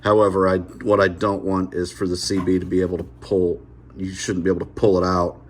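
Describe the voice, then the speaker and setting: A man in middle age with a fairly low voice walks viewers through hands-on work. He talks in a folksy, informal way, working things out aloud. There is a light layer of noise behind him. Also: flat delivery, a little fast. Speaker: host of a DIY install video. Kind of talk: casual hands-on demonstration. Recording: noticeable background noise.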